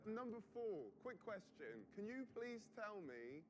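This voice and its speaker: A man's voice: high voice, sing-song, a little slow, slight background noise. A man in his twenties, a game show contestant who quizzes the others in a playful, teasing way. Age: 20s. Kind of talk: casual game show banter.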